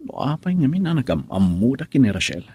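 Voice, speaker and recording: An Ilocano actor playing a brooding, regretful husband who drinks in a radio drama, with a gravelly, husky voice. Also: male voice, mid-range voice, sing-song, fairly quick, darkish tone, no room sound. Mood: brooding, wistful. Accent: Filipino, Ilocano. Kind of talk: Ilocano radio drama acting with inner monologue.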